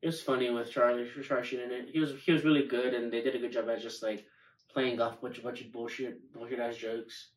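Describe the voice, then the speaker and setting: A man's voice: medium pitch, level tone, quick pace. A man in a casual group chat, talking informally with slang and swearing. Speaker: podcast co-host. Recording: echoey room, distant mic.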